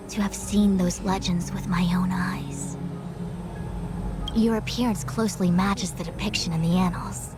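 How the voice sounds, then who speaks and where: An actress playing a self-assured, scholarly master diviner in an anime game, formal and precise. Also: female voice, fairly low pitch, lightly acted. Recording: music behind the voice.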